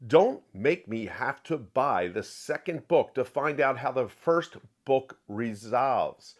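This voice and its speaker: A man delivers an opinionated review as if straight to viewers. His mid-pitched voice swings animatedly up and down, and he stresses his points emphatically, often repeating a phrase to drive it home. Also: animated, slow. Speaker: book reviewer. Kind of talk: opinionated book review to camera.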